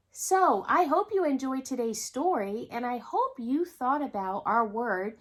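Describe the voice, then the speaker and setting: A woman hosting a children's storytime, reading a picture book aloud expressively and speaking directly to young listeners. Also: a little high. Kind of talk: storytime read-aloud with asides to young viewers.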